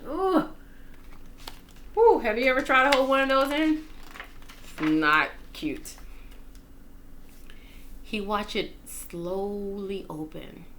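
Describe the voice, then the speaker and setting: A woman reading a children's story aloud in an expressive, playful, dramatic manner, with casual asides. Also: mid-range voice, slow pace, pauses often, slight background noise. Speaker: storytime reader.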